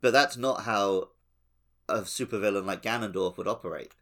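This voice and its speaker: A man with a fairly low voice co-hosts a gaming podcast. He talks informally, musing aloud and slipping into anecdote in a relaxed conversational style. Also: normal speed. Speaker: podcast co-host; teacher. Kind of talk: casual gaming podcast chat.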